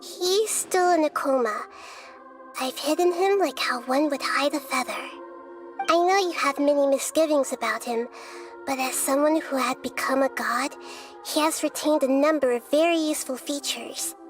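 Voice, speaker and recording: Woman as a soft-spoken girl goddess of wisdom, high and measured, game music faint behind. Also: lightly acted. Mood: thoughtful. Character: gentle young girl goddess of wisdom, calm and thoughtful. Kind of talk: video game dialogue.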